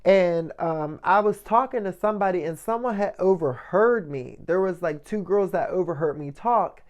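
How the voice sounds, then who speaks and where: A man with a light, soft voice giving a casual motivational monologue as a vlogger, often checking in with the listener. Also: sits high, normal speed, lo-fi sound. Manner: conversational, checks in often with "right?" and "you know".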